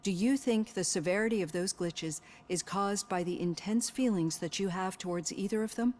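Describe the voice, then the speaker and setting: An actress playing a composed, analytical psychologist questioning a patient in video game dialogue. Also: female voice, medium pitch, normal speed, a little mellow, lightly acted. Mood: measured, probing. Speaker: therapist.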